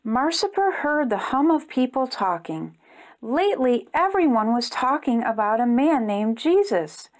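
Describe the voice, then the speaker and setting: A woman reading a storybook aloud to young children in an expressive storytime style. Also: high voice, a little slow. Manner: expressive, simple phrasing aimed at young children. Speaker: children's storytime reader and host.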